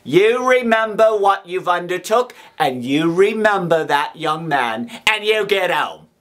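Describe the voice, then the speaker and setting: A man performs a rough convict from a classic storybook, all menace and threat. The voice rides high and swings dramatically in pitch. Each rustic phrase is drawn out slowly and pressed home with emphasis. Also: very animated, fairly bright tone, exaggerated voice. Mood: commanding. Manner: slow, emphatic rustic speech with nonstandard grammar.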